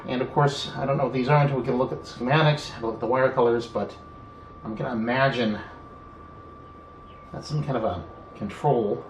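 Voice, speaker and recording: A man presenting an unscripted hands-on repair walkthrough, thinking aloud unhurriedly with trailing pauses. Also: medium pitch, fairly even intonation. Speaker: hobbyist video presenter. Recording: echoey room with faint background noise.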